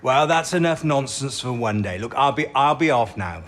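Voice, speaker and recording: An actor playing a jovial, modest older British codebreaking boss in a period audio drama, chuckling and hesitant in an avuncular way. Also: male voice, mid-range voice, lively intonation, moderate pace, fairly bright tone, a little hiss or hum, lightly acted. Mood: cheerful. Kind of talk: period radio drama acting.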